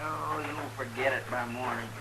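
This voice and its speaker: A man's voice: mid-range voice, steady tone, normal speed, close to an everyday voice. A man playing a rustic deputy through heavy noise. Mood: wary. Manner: rustic, plain-spoken. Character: loyal, plain-spoken marshal's helper. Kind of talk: 1950s radio western acting. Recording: very noisy vintage radio recording.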